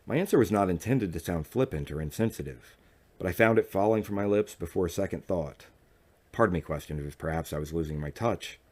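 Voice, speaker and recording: Male audiobook narrator, deep register, measured dramatic delivery for first-person fiction. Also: a little mellow.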